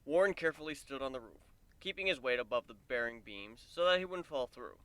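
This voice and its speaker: A man narrating a story as a dramatic reading, in a measured, descriptive manner. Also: mid-range voice, lively intonation, a bit bright, clean tone, no room sound. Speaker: fan fiction narrator.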